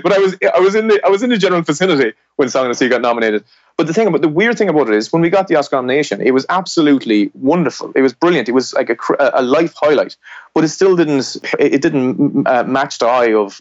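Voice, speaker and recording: A man's voice: medium pitch, dry recording, muffled recording. A middle-aged man with an Irish accent, a film director from the southwest of Ireland, talking reflectively in a podcast interview and correcting himself as he goes. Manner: rambling, self-correcting, reflective.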